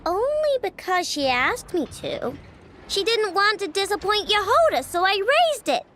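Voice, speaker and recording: A little girl game character, extremely high and bright. Her delivery is bubbly and swings widely in pitch. Light music plays underneath. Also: very animated, measured pace, a little hiss or hum, full character voice. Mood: casual explaining. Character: small, bubbly, bright-voiced young girl. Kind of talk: video game voice acting. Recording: faint game music behind.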